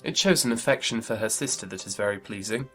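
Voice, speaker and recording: A man playing a kind, good-natured young gentleman in a period audiobook drama, speaking earnestly and politely. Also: on the low side, fast, soft background music, close to an everyday voice.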